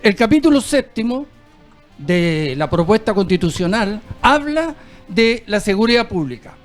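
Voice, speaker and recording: A man's voice: high voice, sing-song, unhurried, faint music behind. A male host with a Chilean accent moderating a political panel, talking in a conversational, slightly hesitant way.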